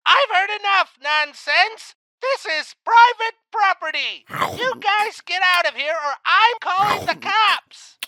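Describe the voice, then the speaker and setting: A performer voicing an angry, blustering property boss in a cartoon comic dub, forceful and irate. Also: extremely high pitch, expressive, a little slow, no room sound, studio quality, heavily acted. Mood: furious. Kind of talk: acted comic dub dialogue.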